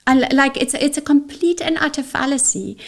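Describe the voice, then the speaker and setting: A woman's voice: sits high, fairly quick, vocal fry. South African woman, Afrikaans native, interview guest; outspoken, emphatic, stumbles and restarts. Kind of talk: interview conversation.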